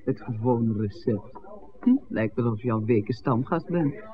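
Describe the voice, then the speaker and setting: An actress playing a friendly young innkeeper's daughter serving drinks in a 1960s Dutch radio drama. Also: female voice, rumbling low, animated, unhurried. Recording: muffled, noisy old radio drama recording.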